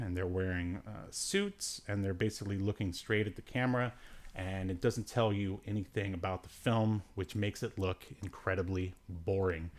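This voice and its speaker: Low-voiced man chatting casually about media. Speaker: podcast host. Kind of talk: casual movie-review chat.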